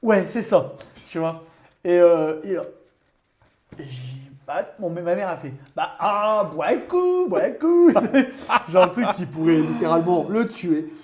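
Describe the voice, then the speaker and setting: A young man co-hosting a podcast, telling a story in a halting way with many fillers and pauses. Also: sits high, very animated, darkish tone. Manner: hesitant, filler-heavy storytelling. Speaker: podcast co-host. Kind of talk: casual chat while painting.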